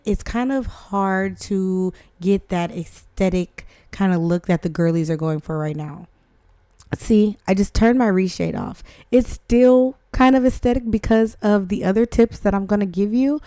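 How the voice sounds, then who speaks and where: A young woman with a hoarse voice from the flu, chatting casually as she narrates a game tutorial over gameplay. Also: mid-range voice, dark tone, no echo, studio quality. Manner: chatty, rambling, self-deprecating. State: hoarse from flu, voice not back. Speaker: gaming channel host.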